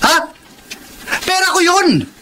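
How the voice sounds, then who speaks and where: An actor playing an irritable, defensive husband with a gambling habit in a radio drama, curt and argumentative. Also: male voice, very high-pitched, sing-song, slow pace, pushed and bright, a little hiss or hum, exaggerated voice. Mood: irritated, angry. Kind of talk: Tagalog radio drama acting.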